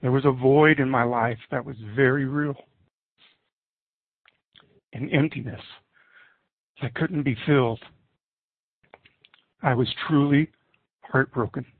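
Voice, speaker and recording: A middle-aged man preaching a sermon and reading scripture in an earnest, deliberate way with pauses. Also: mid-range voice, fairly even intonation, dry recording. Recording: muffled narrowband, phone-like audio.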